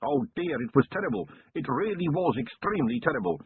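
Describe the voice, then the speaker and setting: Guy putting on a jittery raspy chicken farmer, higher pitched, pleading and rambling, lo-fi muffled sound. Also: lively intonation, a little fast, slight background noise, natural voice. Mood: anxious. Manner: pleading, over-explaining, keeps saying sir. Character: nervous plump male chicken farmer, rambling and timid. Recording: muffled lo-fi narrow-band recording.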